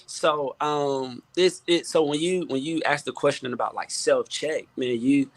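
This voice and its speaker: A man speaking as a guest producer and songwriter in a casual panel chat, halting and repeating words as he thinks, often adding "you know". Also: fairly high pitch, no echo.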